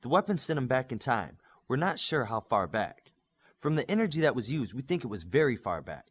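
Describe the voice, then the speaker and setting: A man's voice: medium pitch, big pitch swings, fairly quick, husky. Man voicing an earnest, methodical superhero tech expert. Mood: explaining. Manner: explanatory, methodical.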